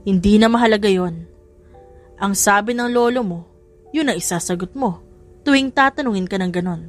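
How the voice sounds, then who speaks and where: A woman's voice: mid-range voice, normal speed, lightly acted. A woman voicing a firm, cautioning personal assistant who instructs a young heir in an audiobook drama. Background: music.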